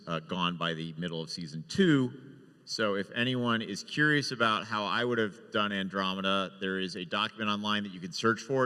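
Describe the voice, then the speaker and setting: A man's voice: lowish voice, sharp tone, smooth. A man explaining casually as a panelist in a reverberant live hall. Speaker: panelist, TV writer.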